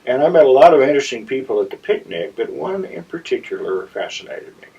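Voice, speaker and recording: A man hosting a story segment, telling a personal anecdote to viewers in a deliberate, theatrical raconteur's manner with dramatic pauses. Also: mid-range voice, big pitch swings, mellow, breathy edge. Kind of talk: anecdotal monologue addressed to viewers. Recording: slightly distant, dull lo-fi sound.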